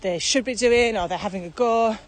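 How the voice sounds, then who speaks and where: A woman's voice: on the high side, a little fast. A woman co-hosting a podcast in casual, conversational chat, thinking aloud as she talks. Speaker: podcast co-host. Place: walking outdoors across open fields. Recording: outdoor recording with steady ambient noise. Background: outdoor ambience.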